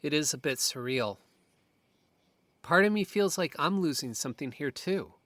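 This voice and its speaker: A man's voice: on the high side, expressive, moderate pace, fairly bright tone, dry recording. A young man acting as a casual, profane, protective friend in a horror audio drama. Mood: wistful, quiet. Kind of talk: naturalistic audio drama dialogue.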